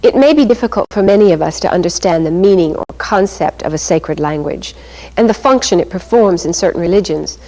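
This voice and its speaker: A woman reads scripted documentary narration in a formal, even manner as the program narrator. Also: on the low side, a little fast, low-quality recording.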